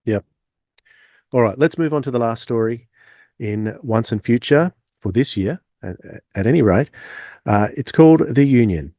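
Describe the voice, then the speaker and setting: A man's voice: mid-range voice, expressive, moderate pace, warm tone, no room sound, studio quality. A man hosting a podcast, talking casually as he reviews. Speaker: podcast host.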